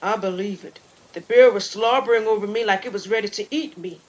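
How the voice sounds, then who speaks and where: A woman's voice: mid-range voice, a little fast, highs cut off, natural voice. A woman voicing a shaken but grateful young man on a hike in an audio story. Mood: shaken, urgent. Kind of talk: audio story character dialogue.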